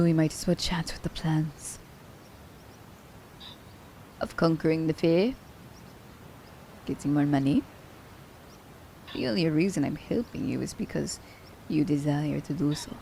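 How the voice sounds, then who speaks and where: A woman playing a teasing, worldly female assassin in an ASMR roleplay, with a gravelly, mellow voice and a playful, unhurried delivery. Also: low-pitched, slight background noise, low-quality recording. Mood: wry, playful. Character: teasing, worldly female assassin fond of her werewolf captive.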